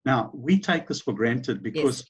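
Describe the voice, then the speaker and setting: A man's voice: mid-range voice, lively intonation, moderate pace, breathy edge. A middle-aged man with a South African accent, telling his story as a guest in an interview, rambling with many fillers and restarts. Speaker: business founder, guest.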